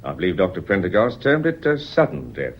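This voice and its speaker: A man acting in a British radio play as a polite, quietly persistent police inspector, measured and unflappable, with a British accent. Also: low-pitched, huge pitch swings, a little hiss or hum, heavily acted. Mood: polite, firm. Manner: deferential, measured, unflappable, constantly courteous. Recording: dull lo-fi radio recording.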